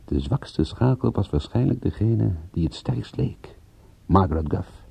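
A man playing a wry, pensive private detective, narrating his story in a measured, musing way in a radio play. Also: lowish voice, expressive, gravelly, mellow, husky, full character voice. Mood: brooding, wry.

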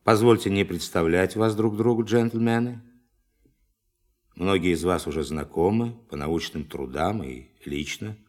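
An actor playing a forceful science official who addresses a meeting formally and emphatically in a radio play. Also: male voice, low-pitched, a little slow. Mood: grave, formal.

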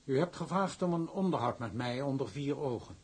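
Kwel, a husky, guarded gentleman. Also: mid-range voice, animated. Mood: cool politeness. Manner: formal, measured. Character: cool, polite, guarded gentleman antagonist, Kwel. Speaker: actor. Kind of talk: Dutch drama acting.

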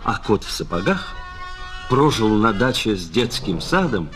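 A man narrating a children's tale in an expressive, dramatic and deliberate storytelling style. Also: lowish voice, music in the background.

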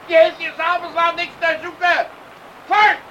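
Set away from the mic in a faintly noisy recording, a domineering farmer father. His voice is high and sharp, and he talks in brusque, scolding commands, as in a radio play. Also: little pitch movement, measured pace, distant mic, heavily acted. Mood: angry, scolding. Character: gruff, domineering farmer father, quick to bark orders. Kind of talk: Dutch radio drama acting.

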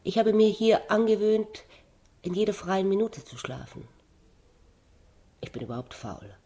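A woman's voice: mid-range voice, sing-song, measured pace, mellow. Woman reading literary prose aloud. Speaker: reader.

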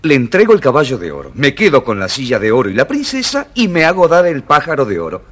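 An actor playing a naive, good-hearted young prince in a children's audio storybook, earnest and a little muddled in a theatrical way. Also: male voice, mid-range voice, wildly swooping pitch, fast talker. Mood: muddled, eager.

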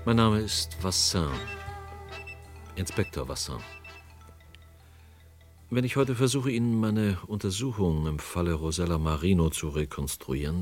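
An actor playing a grave police inspector, narrating his case formally and deliberately in a 1970s radio play. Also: rumbling low, slightly rough, dry recording, full character voice.

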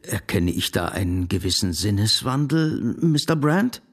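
An actor playing a stern, old-fashioned father who is easily baffled and scandalized, in a German radio drama, with a husky voice. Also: fairly low pitch, sing-song, moderate pace, heavily acted.

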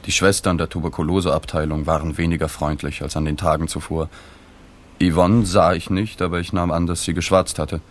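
A man's voice: deep voice, fairly even intonation, brisk. An actor playing a subdued, awkward male journalist who narrates a radio play in an understated, detached way. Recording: music behind the voice.

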